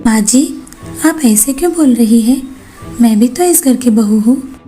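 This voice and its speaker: A woman's voice: a little high, animated, measured pace, mellow, faint music behind. A woman voicing a meek, wronged young daughter-in-law in a cartoon story. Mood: hurt, meek. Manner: deferential, hurt.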